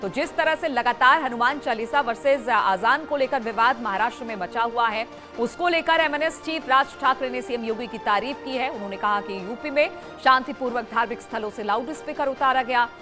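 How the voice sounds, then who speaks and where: A woman's voice: fairly high pitch, a little fast, few pauses. A woman news anchor reading broadcast news in an emphatic, formal way, restating the key points. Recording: news music bed behind the voice. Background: news music.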